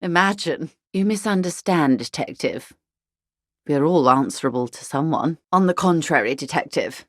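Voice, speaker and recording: A rich older lady negotiator for a video game, lowish voice, wry n polished, really dry studio sound. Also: lively intonation, moderate pace, no room sound. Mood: wry, composed. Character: shrewd, urbane, wealthy older woman, a corporate negotiator. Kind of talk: video game character dialogue.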